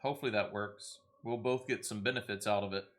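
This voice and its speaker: A man's voice: on the low side, steady tone, fast, fairly bright tone. A man hosting a game livestream, chatting casually in a helpful, hesitant, explanatory way. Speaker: game streamer, host.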